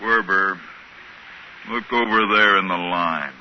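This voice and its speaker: An American actor playing a gruff, talkative spaceship captain in a 1950s radio drama. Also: male voice, deep voice, takes it slowly, a little hiss or hum. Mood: commanding, baffled. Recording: dull band-limited 1950s radio recording.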